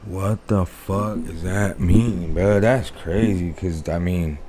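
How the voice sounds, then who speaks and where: A man hosting a reaction video, chatting casually and swearing as he thinks out loud. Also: sits low, slow, a little hiss or hum.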